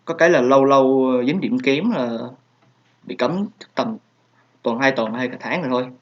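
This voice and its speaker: A man's voice: medium pitch, brisk. A young man, a native Vietnamese speaker with a Vietnamese accent, answering viewer questions in a hesitant, casual way with many fillers. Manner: hesitant, many fillers and soft trailing asides. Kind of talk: casual Q&A vlog. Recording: dull lo-fi home recording.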